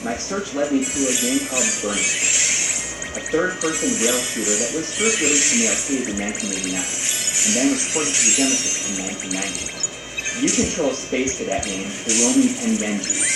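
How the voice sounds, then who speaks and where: Roomy-sounding man narrating over loud music. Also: medium pitch, recorded from a distance. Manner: casual, conversational phrasing. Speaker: video game review show host. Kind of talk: scripted video essay narration.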